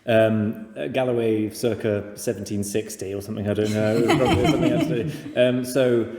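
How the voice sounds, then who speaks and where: A middle-aged man with an English accent and a soft, mellow tone speaks in a very reverberant room. He is a talkative guest who tells anecdotes casually and pokes fun at himself as he rambles. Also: fairly low pitch, fairly even intonation, fairly quick. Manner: rambling, self-deprecating, talkative. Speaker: podcast guest. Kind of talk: casual, anecdotal interview chat.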